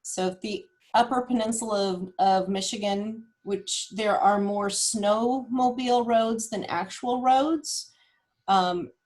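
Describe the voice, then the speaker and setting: A woman, explaining data in a casual, measured way on a group call. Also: mid-range voice, darkish tone. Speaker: nurse presenting health data.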